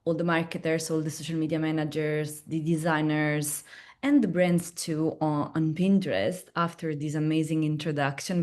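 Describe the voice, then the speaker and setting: Webinar presenter: a woman with an Italian accent and a lowish voice, chatty and loose. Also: mellow. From Italy. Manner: chatty, restarts often, tag questions like "no?". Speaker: platform representative presenting at a webinar.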